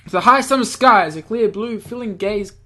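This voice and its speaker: A woman's voice: medium pitch, moderate pace, fairly bright tone. A woman reading a visual novel aloud in an amateur, offhand narrating style, sometimes stumbling over words and re-reading them. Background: game background music.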